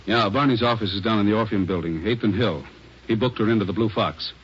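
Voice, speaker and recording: Man acting a low, blunt detective chief. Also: fast talker, fairly bright tone, natural voice. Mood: blunt, commanding. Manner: blunt, commanding. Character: gruff, brisk male chief of detectives. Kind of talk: 1940s radio drama acting. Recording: muffled, noisy 1940s radio recording.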